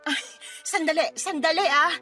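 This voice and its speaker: A woman's voice: very high voice, normal speed, pushed and bright, soft background music. A woman playing an indignant, defensive girlfriend in a Filipino radio drama, with emphatic, sarcastic retorts and a Filipino accent. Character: indignant girlfriend, defensive, sarcastic, hot-tempered older sister type.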